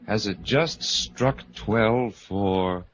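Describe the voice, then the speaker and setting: A man playing an ominous announcer in 1940s radio mystery style, with dramatic, drawn-out delivery. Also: fairly low pitch. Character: ominous male announcer of a midnight mystery show. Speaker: announcer and narrator. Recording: muffled old radio broadcast. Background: loud dramatic music.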